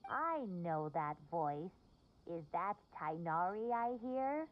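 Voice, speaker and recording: Slow, low-voiced gossipy old lady. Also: very animated, dry recording, phone-quality sound. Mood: surprised. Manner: unhurried, folksy, exclaiming. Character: gossipy elderly woman neighbour in a fantasy game. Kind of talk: video game voice acting.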